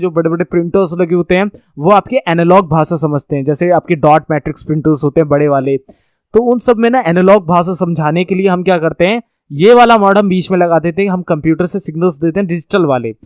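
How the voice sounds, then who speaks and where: Male tech instructor, high voice, dry close sound, informal lecturing, rephrases often, checks understanding. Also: a little mellow, dry recording. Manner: repeats and rephrases points, checks understanding with tags. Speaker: networking course instructor.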